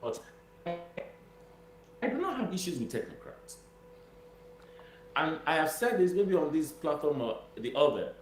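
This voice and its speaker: A man's voice: on the high side, big pitch swings, unhurried, pauses often, soft background music. A man with a Nigerian accent giving political commentary as an interview guest, emphatic and repeating phrases for stress. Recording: roomy echo with noise and hum.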